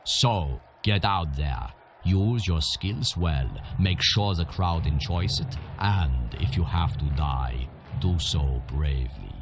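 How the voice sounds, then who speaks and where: An actor playing a gruff, hardened arena boss in a video game, bossy and blunt. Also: male voice, a bass voice, expressive, slow pace, slight background noise, exaggerated voice. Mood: commanding. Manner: commanding, blunt. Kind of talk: video game character acting.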